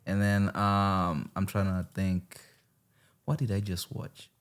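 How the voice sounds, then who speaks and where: A man's voice: slow, clean tone. A man hosting a podcast in casual chat, playful and teasing. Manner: playful, teasing, argues with animated pitch swings. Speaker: podcast host.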